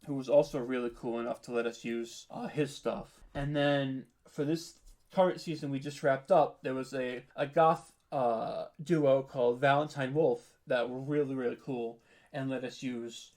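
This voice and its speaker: A man's voice: mid-range voice, steady tone, normal speed, darkish tone. An American man from New York giving a casual interview as a podcast creator, chatty, informal and self-deprecating. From New York, United States.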